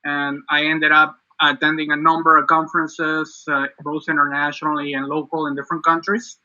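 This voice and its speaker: A man in his fifties from Venezuela introduces himself on a video call in a relaxed, rambling way. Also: fairly high pitch, a little fast. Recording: dull lo-fi call audio.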